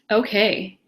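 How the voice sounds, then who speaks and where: A woman in her late thirties hosting a casual online webinar alone, chatty and self-deprecating, with quick laughs and apologies. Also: mid-range voice. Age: 30s. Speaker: webinar host. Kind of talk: casual solo webinar presentation.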